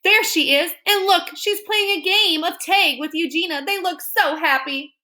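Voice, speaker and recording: A fluttery, doting housewife, as a woman puts her on for a storybook reading. She gushes in a very high, bright voice. Everything comes out sweet and a little anxious. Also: moderate pace. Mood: delighted. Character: fussy doting housewife, middle-aged.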